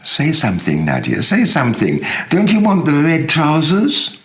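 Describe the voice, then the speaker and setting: A man's voice: a little high, wildly swooping pitch, moderate pace, a bit bright, pitched above the performer's own voice. A man voicing an anxious, tender uncle coaxing his young niece in a literary reading, urgent and repeating himself. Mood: pleading.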